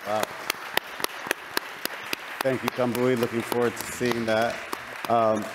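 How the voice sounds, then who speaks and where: A man's voice: mid-range voice, little pitch movement, slow pace, over a noisy crowd, heavy background noise. A man moderating a panel, politely introducing the next speaker and keeping time. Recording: hall with audience.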